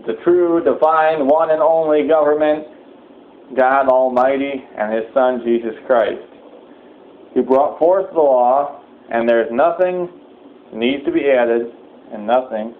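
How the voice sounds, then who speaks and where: A thin, phone-like recording with clear noise behind the voice. A man with a mid-pitched voice delivers a sermon-like address as a preacher. He is solemn and exhorting, and his delivery halts and hesitates.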